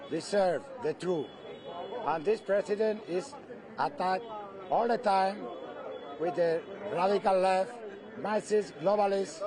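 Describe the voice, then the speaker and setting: Emphatic Cuban-accented man at a rally. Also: high-pitched. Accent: Cuban Spanish. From Cuba. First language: Spanish. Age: 50s. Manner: emphatic, slogan-like, halting English. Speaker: rally supporter being interviewed. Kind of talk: street interview at a political rally. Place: outdoors at a street rally. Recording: noisy crowd outdoors. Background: crowd.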